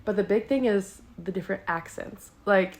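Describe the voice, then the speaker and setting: A young woman with a Midwestern American accent, chatting casually as a podcast guest, relaxed and full of fillers. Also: mid-range voice, normal speed. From Wisconsin, United States. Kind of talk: casual chat.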